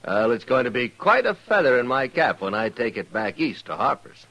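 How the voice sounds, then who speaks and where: An actor playing a smug, callous traveling photographer, glib and mannered, in a 1950s radio western. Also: male voice, medium pitch, very animated, normal speed, pushed and bright, heavily acted, low-quality recording. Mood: smug, amused. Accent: American. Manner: glib, self-satisfied, mannered.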